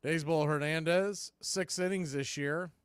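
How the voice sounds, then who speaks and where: A man's voice: a little high, steady tone, measured pace, smooth, dry recording, studio quality. A man calling a baseball game live as a play-by-play announcer.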